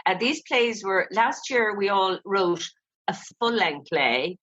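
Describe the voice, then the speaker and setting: A woman's voice: medium pitch, animated, slow pace, a bit bright, highs cut off. An Irish-accented woman chatting casually as an interview guest, in a chatty, rambling manner. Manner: chatty, peppered with "you know". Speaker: guest, playwright.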